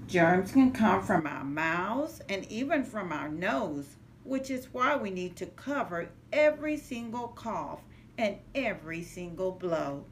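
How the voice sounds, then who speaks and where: A middle-aged woman reading a children's picture book aloud in a measured, sing-song rhyming way. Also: mid-range voice, very animated. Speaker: early childhood center manager reading to children. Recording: noticeable background noise, home recording.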